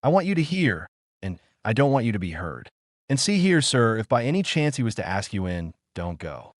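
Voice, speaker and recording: A man's voice: medium pitch, sing-song, moderate pace, no room sound. Man acting a robot butler, anxious, deferential, urgent, swooping intonation, dry sound, audiobook character. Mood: urgent, hushed. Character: frightened, loyal android butler, formal and deferential.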